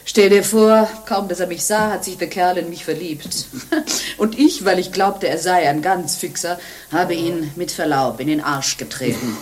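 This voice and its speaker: Actress as a proud young nun, lowish voice, haughty, declamatory, scornful, radio drama. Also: female voice, a little slow, slight background noise, lightly acted. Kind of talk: German radio play acting.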